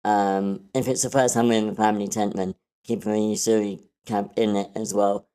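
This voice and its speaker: Mellow-voiced man, polite casual feedback. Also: fairly low pitch, little pitch movement, normal speed, clean tone. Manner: polite, softens criticism. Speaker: participant giving script feedback. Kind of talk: casual constructive critique.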